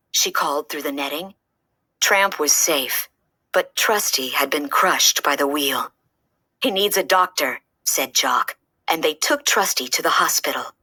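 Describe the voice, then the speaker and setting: A woman reading a children's story aloud with expressive narration. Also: low-pitched, breathy edge, dry recording, studio quality.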